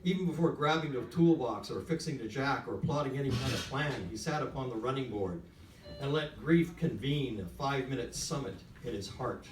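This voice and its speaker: A man, an author at a live literary event, speaking with measured, emphatic phrasing. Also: mid-range voice, slight background noise. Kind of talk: literary reading, then frank talk. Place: whisky bar literary event. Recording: echoey room, distant from mic.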